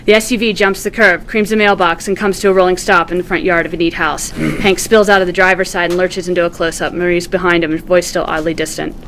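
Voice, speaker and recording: A woman's voice: fairly low pitch, fairly even intonation, fast talker, hardly any pauses, fairly bright tone, a little hiss or hum. A woman reading screenplay stage directions aloud as scripted narration, in a vivid storytelling manner.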